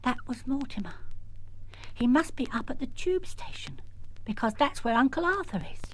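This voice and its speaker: A man's voice: very high voice, animated, moderate pace, slight background noise, pitched above the performer's own voice, rough recording. A British man voicing a small, determined little girl in a children's story, speaking simply and directly. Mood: matter-of-fact.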